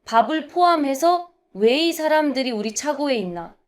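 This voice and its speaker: A woman's voice: high-pitched, animated, very fast. A woman playing a scolding, bickering sister in a cartoon comic dub, with an accusing manner.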